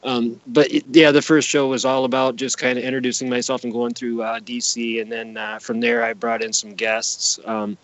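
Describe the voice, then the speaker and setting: A man speaking as an interview guest, explaining his own work in a casual, halting, conversational way. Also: medium pitch, little pitch movement, poor audio. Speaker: podcast guest, himself a podcaster and presenter.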